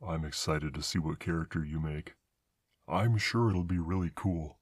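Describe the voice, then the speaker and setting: A man voices a big, bashful monster with a gentle heart. The voice is very low and recorded dry and close. He talks hesitantly and kindly, as in an ASMR roleplay. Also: moderate pace, no room sound.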